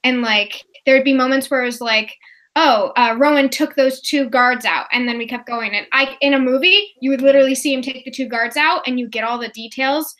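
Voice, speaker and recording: A woman with a high, bright voice chats about books as a co-host. Her delivery is loose and rambling, like talk among friends. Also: brisk. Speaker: co-host on a readalong live show. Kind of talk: casual book chat.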